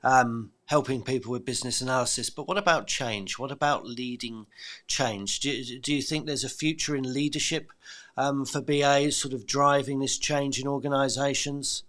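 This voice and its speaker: A man's voice: mid-range voice, steady tone, moderate pace, fairly bright tone. A man hosting a podcast interview, reflecting on his guest's answers in a thoughtful, affirming way with frequent fillers. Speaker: podcast host.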